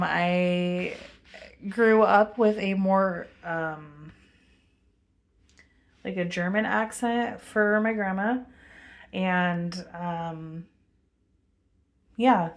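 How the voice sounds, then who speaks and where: Slow rambly lady on a webcam, lowish commanding voice, lots of ums. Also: steady tone. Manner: meandering, many fillers and pauses. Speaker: spiritual vlogger. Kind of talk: rambling spiritual monologue.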